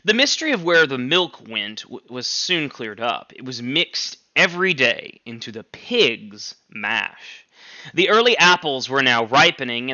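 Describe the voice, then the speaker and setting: A male teacher reading a novel aloud to his class with expressive, clear phrasing. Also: mid-range voice, no room sound, lo-fi sound.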